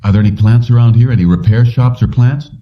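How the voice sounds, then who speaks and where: A man's voice: mid-range voice, quick pace, pitched above the performer's own voice, lightly acted. A man voicing a determined electrician looking for work, asking plain, terse questions in an audiobook. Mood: probing. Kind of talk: audiobook character dialogue. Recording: dull lo-fi, distant from mic.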